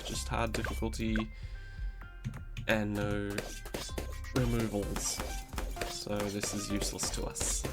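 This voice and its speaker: A man's voice: on the low side, flat delivery, slow pace, pauses often, loud music behind the voice. A man hosting a gaming video, talking in a rambling, laid-back way with a nasal, congested voice from a cold he is getting over. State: recovering from a cold, stuffy nose. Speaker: gaming channel host. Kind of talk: casual commentary over gameplay.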